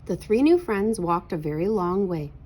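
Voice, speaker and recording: A woman narrating a children's picture book aloud in an expressive read-aloud style. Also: on the low side, moderate pace, husky.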